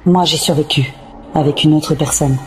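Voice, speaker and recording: A man playing a grave disaster survivor, narrating a film prologue in an amateur dub in a measured, solemn way. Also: on the high side, fairly even intonation, over loud music, natural voice. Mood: grave, matter-of-fact.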